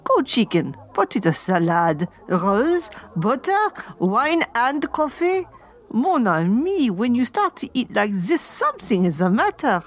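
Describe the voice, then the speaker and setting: A woman's voice: fairly high pitch, very animated, measured pace. A woman playing a worldly, practical French friend with a French accent in an audio drama. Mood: teasing, practical.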